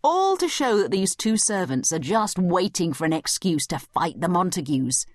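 A woman giving scripted educational commentary as a study guide narrator, explaining each point clearly. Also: fairly low pitch, very animated, normal speed, no room sound, studio quality.

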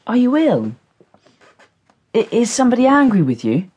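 A woman playing a kind, gentle upper-class teenage boy in a period audio drama, with a reassuring manner. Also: a little high, huge pitch swings, normal speed, breathy edge, muffled recording, exaggerated voice. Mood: tender, concerned.

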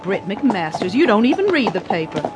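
A woman's voice: medium pitch, sing-song, normal speed, heavy background noise, close to an everyday voice. An actress playing a sassy, folksy small-town newspaperwoman who banters in a western radio drama. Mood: sassy, bantering.

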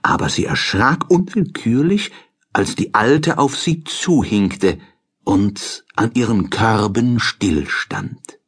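A man reading a children's fairy tale as an audiobook narrator, in a measured, expressive storytelling manner. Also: fairly low pitch, breathy edge.

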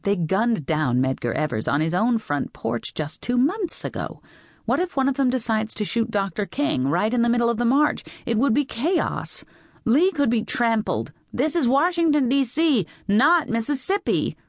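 A woman's voice: sits low, big pitch swings, measured pace, few pauses, darkish tone, no echo, full character voice. Audiobook role: strict, anxious mother, read by a woman, exasperated and firm, in a dry recording. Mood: anxious, insistent. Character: worried, strict mother of two girls. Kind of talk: audiobook character voice.

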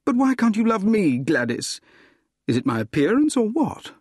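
Man acting an ardent, animated young suitor. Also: medium pitch, takes it slowly, gravelly, husky, no echo, studio quality, exaggerated voice. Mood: ardent pleading. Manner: pleading, earnest, eager. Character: ardent, impulsive young man pleading for love.

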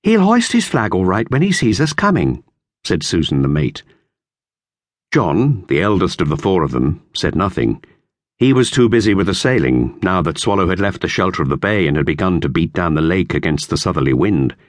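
A man narrating a classic children's novel in measured, expressive storytelling. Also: sits low, no echo, clean studio sound. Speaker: audiobook narrator.

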